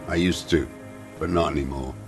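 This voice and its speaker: A very deep, gravelly, weary old deserter. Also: male voice, full character voice. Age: older. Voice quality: gravelly, coughing smoker's voice. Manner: terse, halting, brooding. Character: bitter, weary old communist deserter, former political commissar. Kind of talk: video game voice acting. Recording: music under the voice.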